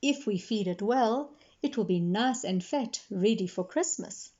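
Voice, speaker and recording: A woman voicing a kindly, practical mother in a children's storybook, gently instructive. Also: mid-range voice, animated, unhurried. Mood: encouraging. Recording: slightly dull lo-fi sound.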